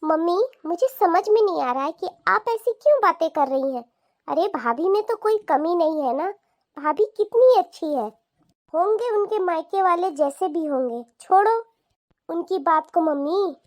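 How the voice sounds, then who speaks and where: A woman's voice: very high-pitched, lively intonation, moderate pace, a little mellow, no echo. A woman voicing a caring, earnest young married woman with a pleading manner in a cartoon family drama. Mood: protesting. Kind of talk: animated family drama dialogue.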